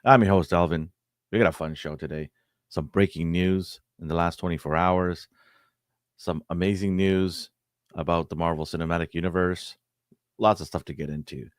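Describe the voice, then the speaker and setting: Brief: low raspy male host, hoarse, jokey banter, dry close mic. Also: fairly even intonation, moderate pace, dry recording. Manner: jokey, teasing his co-host. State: hoarse from cheering. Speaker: podcast host. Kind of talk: casual banter.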